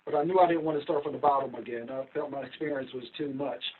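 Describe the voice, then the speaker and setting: A middle-aged man from Philadelphia, a guest recounting his career in a measured, steady way with frequent ums. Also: medium pitch. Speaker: guest, investigation company owner. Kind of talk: casual interview over a call. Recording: tinny phone-like line, distant mic.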